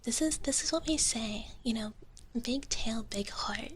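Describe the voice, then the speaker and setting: A woman softly whispering close to the mic as a sweet, playful young dragon big sister in live ASMR roleplay, hesitant and bubbly. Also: on the high side, a little slow, a little hiss or hum, close to an everyday voice. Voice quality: soft, breathy whisper. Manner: sweet, hesitant, bubbly, speaks of herself in third person. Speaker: livestream host. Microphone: close ASMR microphone.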